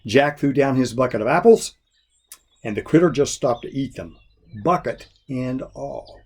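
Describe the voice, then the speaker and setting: A man reading a children's folk tale expressively, in a folksy, deliberate storyteller's manner. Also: mid-range voice, a little mellow, slightly rough. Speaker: story reader.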